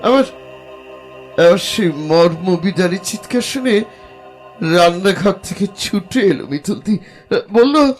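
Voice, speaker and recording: Young male, haunted and hesitant, high voice, slow delivery, faint music underneath. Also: lively intonation, low-quality recording. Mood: horrified. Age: young adult. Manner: halting, breathy hesitations and repeated words. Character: anxious young man haunted by terrifying visions. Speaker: protagonist and first-person narrator. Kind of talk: audio horror drama acting.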